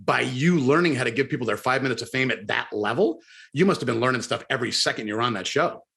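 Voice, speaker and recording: Fast-talking enthusiastic male podcast host. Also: medium pitch, expressive, creaky, a bit bright. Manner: enthusiastic and chatty. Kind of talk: casual interview talk.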